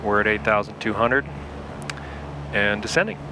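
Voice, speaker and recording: A man's voice: lowish voice, moderate pace, noisy background. A man from Utah giving easygoing running commentary as he flies a home-built flight simulator, talking himself through cockpit checklists. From Salt Lake City, Utah. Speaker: home flight-sim pilot. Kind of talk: casual running commentary while flying.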